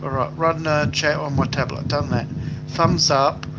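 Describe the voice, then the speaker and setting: A man's voice: on the high side, fairly even intonation, measured pace, dull audio. An older Australian man chatting loosely on his live stream, rambling with many fillers. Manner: rambling, lots of fillers, stop-start phrasing. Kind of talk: casual live stream chat, reading comments aloud. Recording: noisy home recording.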